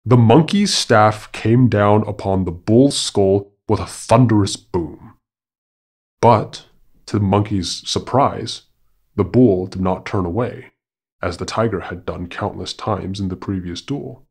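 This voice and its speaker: A man narrating a scripted animal fable as a podcast storyteller, in a formal, measured and dramatic manner. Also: lowish voice, a little mellow, breathy edge.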